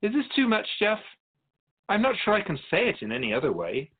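An actor playing a calm, wise, otherworldly keeper of souls who teaches in a measured, explanatory way as a guest in a radio drama. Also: male voice, high-pitched, husky, phone-quality sound, full character voice. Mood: calm, instructive.

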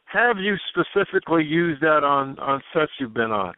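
A man hosting a radio show, interviewing callers in a brisk, affirming way. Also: fairly high pitch, animated, no echo, phone-quality sound.

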